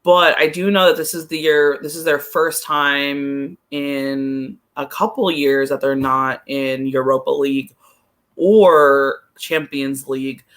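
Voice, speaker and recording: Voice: mid-range voice, fairly even intonation, slow pace, a bit bright. A person chatting casually about soccer as a podcast analyst, thinking aloud and leaning often on the word "like". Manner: hesitant, thinking aloud, frequent use of "like". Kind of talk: casual chat, soccer commentary.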